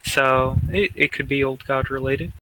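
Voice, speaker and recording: A man chatting casually about gaming as a podcast co-host, in a hesitant, halting manner. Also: mid-range voice, fairly even intonation, bright, forceful tone, a little hiss or hum, rough recording.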